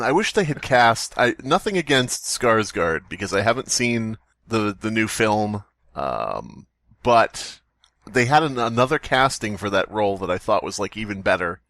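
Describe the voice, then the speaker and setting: Joking man podcaster with animated pitch. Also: normal speed, no echo, studio quality. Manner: jokey, riffing. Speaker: podcast host. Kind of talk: casual comedic podcast banter.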